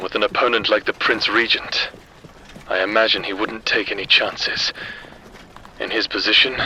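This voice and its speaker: A man playing a proud, analytical captive mage in an audio drama, speaking in a measured, formal, brooding monologue. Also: fairly low pitch, pushed and bright, slight background noise, rough recording.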